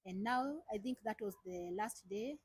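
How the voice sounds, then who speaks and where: A woman talking as a guest on a channel, halting and often restarting her phrases. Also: mid-range voice, slightly rough, dry recording. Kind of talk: casual chat.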